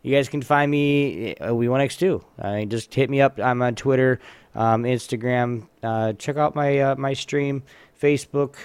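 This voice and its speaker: A man's voice: mid-range voice, moderate pace, a little mellow, clear, resonant tone, no echo. A man hosting a podcast in a casual, rambling, laid-back and informal way. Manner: informal, laid-back, loses train of thought. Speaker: podcast host.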